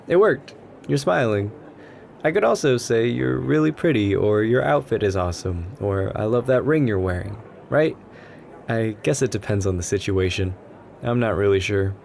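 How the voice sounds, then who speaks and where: A man playing a shy, anxious and gentle young stranger who rambles self-consciously in a soft ASMR roleplay. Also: lowish voice, gravelly. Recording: background ambience noise. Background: coffee shop ambience.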